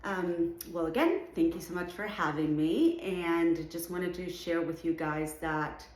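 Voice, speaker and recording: A middle-aged woman from Venezuela with a Venezuelan Spanish accent, speaking to students as an art show juror in an unhurried way, with fillers and restarts. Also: fairly low pitch, darkish tone. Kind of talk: recorded address to students. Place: art studio indoors, seated. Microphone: camera in front, medium framing. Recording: echoey room.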